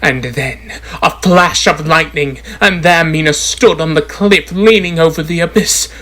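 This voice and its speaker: A man's voice: on the high side, a little slow, pushed and bright. A man playing a lawyer who formally and dramatically presents evidence in a radio drama. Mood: dramatic. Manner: formal, declamatory. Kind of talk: stage radio drama narration.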